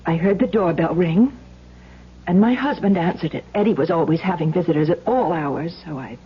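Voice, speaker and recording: A shaken wife, speaks slowly and haltingly in a very husky, breathy voice. It is radio drama from the 1970s with a muffled sound. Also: female voice, lowish voice, full character voice. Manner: halting, hesitant. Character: shaken, vengeful young wife giving false testimony. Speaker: actor. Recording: dull old radio recording.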